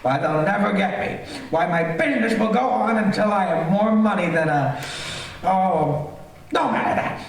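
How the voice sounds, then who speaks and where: Gloating male serial killer, high swooping voice, theatrical cackles, radio thriller, echoey distant stage recording. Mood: gloating, menacing. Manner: theatrical, gleeful cackling, drawn-out relishing delivery. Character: gloating, vain male serial killer showing off his lair. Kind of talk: old-time radio thriller acting, live stage performance. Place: theater, live-streamed stage performance.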